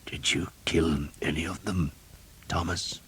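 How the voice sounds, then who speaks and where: A man's voice: sits low, fairly even intonation, slow pace, dark tone, slightly rough, exaggerated voice. A man voicing a dying older male priest who speaks in a weak, strained whisper. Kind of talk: audiobook character reading.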